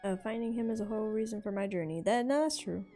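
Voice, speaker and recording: A woman giving casual, relaxed commentary as she plays through a game, reading along and reacting. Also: medium pitch, quick pace, faint music behind. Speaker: game streamer.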